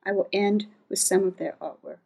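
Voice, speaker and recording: Mellow-voiced woman reading scripted narration. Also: mid-range voice, fairly even intonation, moderate pace, vocal fry, slightly rough. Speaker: academic researcher, economic geographer. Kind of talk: scripted first-person narration.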